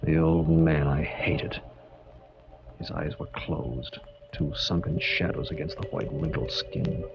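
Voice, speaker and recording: An actor playing a bitter, brooding son full of hate, melodramatic in the style of 1940s radio. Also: male voice, a bass voice, takes it slowly, gravelly, exaggerated voice. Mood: cold brooding. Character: bitter, hateful son waiting for father's death. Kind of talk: 1940s radio acting with first-person narration. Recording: muffled vintage radio broadcast, loud music.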